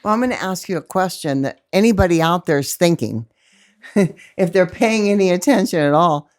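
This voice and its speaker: A woman hosting an interview, asking her questions in a measured, drawn-out way. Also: lowish voice, expressive, a little mellow. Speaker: interview host.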